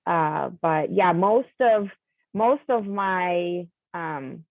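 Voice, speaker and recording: A woman whose first language is Russian answers interview questions in a measured way, pausing on fillers and restarting her phrases. Her voice sits a little low. The sound is thin and phone-like, with no room around it. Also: lively intonation, creaky, dry recording. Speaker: guest, college admissions coach. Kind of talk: conversational interview. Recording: tinny phone-like line, dry.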